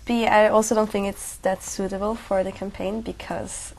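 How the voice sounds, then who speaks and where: A young woman from Switzerland, an exam candidate talking things through aloud in a relaxed, chatty way. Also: medium pitch, normal speed, creaky, rough recording. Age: young adult. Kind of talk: speaking exam conversation.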